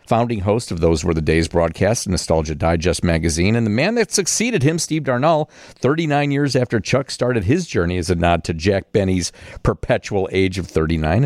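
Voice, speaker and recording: Male radio interviewer, lowish voice with animated swings in pitch, presenting and chatting. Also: dry recording, studio quality. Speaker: radio host. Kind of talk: scripted introduction, then conversational interview.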